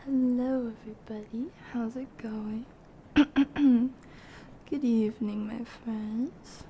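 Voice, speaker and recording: A woman hosting a casual gaming livestream, chatting to viewers in a soft, breathy voice that often drops near a whisper. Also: a little high, fairly even intonation, slow, a little hiss or hum. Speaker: livestream host, gamer.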